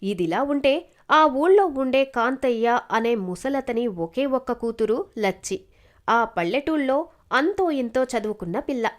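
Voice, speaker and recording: A woman's voice: sits high, lively intonation, unhurried. A woman narrator reading a scripted moral story.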